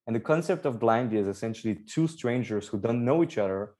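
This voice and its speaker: A man's voice: medium pitch, lively intonation, a little fast, a little mellow. Chatty young man from Belgium. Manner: upbeat, explains at length with fillers. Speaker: startup co-founder guest. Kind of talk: casual podcast interview.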